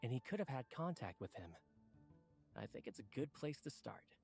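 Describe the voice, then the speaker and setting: A man playing a polite, earnest book editor in a video game, explaining things in a courteous, animated way. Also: medium pitch, moderate pace, vocal fry, faint music behind.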